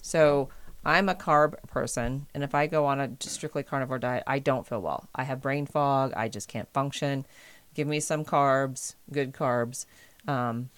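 A woman chats on a podcast about health, pressing her points firmly. Her voice is smooth and resonant, and the sound is dry with no room around it. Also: extremely low pitch, steady tone, measured pace, no echo. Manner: emphatic, insistent. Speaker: podcast co-host. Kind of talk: casual chat.

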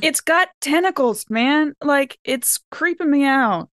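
A woman's voice: sits high, animated, takes it slowly, a bit bright, dry recording, clean studio sound. A woman playing in a tabletop roleplaying game, chatting casually and bantering in character.

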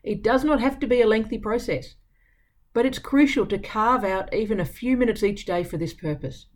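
A woman's voice: a little high, moderate pace. A woman columnist narrating her own written piece in a scripted reading style.

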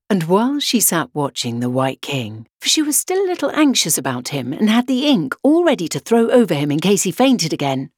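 Woman, storybook narrator, lively swooping pitch, slightly raspy, dry close sound. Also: dry recording. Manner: animated, dramatic. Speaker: audiobook narrator. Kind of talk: expressive children's storybook narration.

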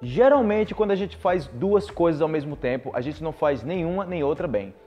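A man's voice: a little high, animated, fairly quick, muffled recording, faint music behind. A young man with a Brazilian Portuguese accent, a language teacher giving direct, persuasive advice and repeating words for emphasis. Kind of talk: casual advice video monologue.

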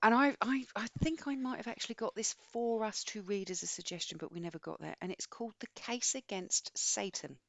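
A woman's voice: mid-range voice, brisk, creaky, few pauses, dry recording. A woman co-hosting a podcast, talking casually and enthusiastically about books in a chatty, rambling way. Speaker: podcast co-host. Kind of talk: casual book chat.

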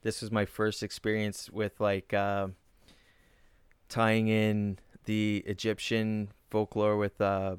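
A man's voice: lowish voice, completely flat delivery, slow pace, smooth, no room sound. A man, a comedian, talking casually in a reflective, earnest way. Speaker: comedian guest. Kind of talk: casual chat.

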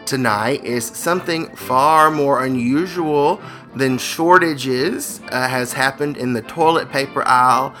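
A man's voice: medium pitch, slow pace, fairly bright tone, soft background music. A man hosting comedic radio banter, teasing his co-host in a wry, mock-dramatic way.

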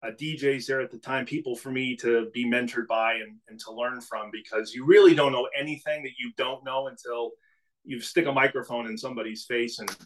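Relaxed middle-aged man telling stories. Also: mid-range voice, a little fast, rough recording. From New England. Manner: relaxed storytelling with frequent restarts. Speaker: podcast guest, business CEO. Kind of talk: casual interview conversation.

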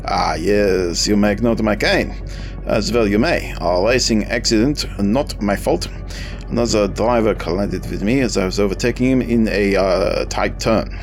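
A cold, imperious German man of about forty, the villain of an audiobook thriller, put on by a male narrator. He speaks with a heavy German accent in clipped, domineering commands. The voice is deep and gravelly, with faint music underneath. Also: normal speed, natural voice. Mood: commanding. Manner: curt, domineering, drill-sergeant tone.

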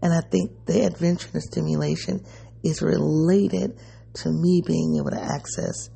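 A man with a raspy, tired voice talking through a personal reflection to his viewers, musing and rambling as he searches for answers. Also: a little high, steady tone, normal speed, dark tone. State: sleepy, voice going. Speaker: vlogger.

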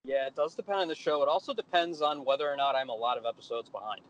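A man's voice: medium pitch, quick pace, lo-fi sound. A man chatting casually as a podcast co-host from inside a car on a phone or headset. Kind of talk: casual chat. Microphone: phone or plugged-in headset.

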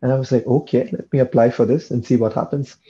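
A man's voice: mid-range voice, fairly even intonation, fast talker, dark tone, muffled recording. A young man from India, chatting in an interview about his studies, often repeating words as he thinks. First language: Tamil. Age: young adult. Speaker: guest, PhD student. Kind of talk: casual interview chat.

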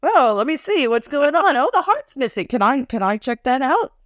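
A woman's voice: sits high, sing-song, moderate pace, dry recording. Woman podcast cohost, chatty, bouncy voice, laughs a lot, dry sound. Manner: chatty, laughs often, acts out quoted lines. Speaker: podcast co-host. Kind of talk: casual episode recap chat.

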